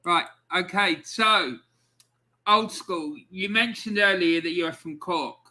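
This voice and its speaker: A man with a high, very bright voice hosts a relaxed podcast chat. He talks loosely and casually, stopping and restarting now and then as he sets things up. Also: takes it slowly. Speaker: podcast host.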